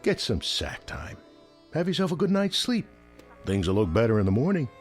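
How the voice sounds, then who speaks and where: An actor playing a folksy, obliging big-city shopkeeper in a radio drama, chatty and colloquial. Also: male voice, mid-range voice, wildly swooping pitch, moderate pace, faint music behind. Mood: soothing, chatty.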